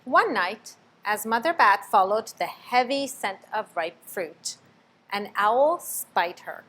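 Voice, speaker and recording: A woman reading a children's picture book aloud, expressive, stopping to ask the listener questions. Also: mid-range voice, slow pace. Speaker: storybook reader.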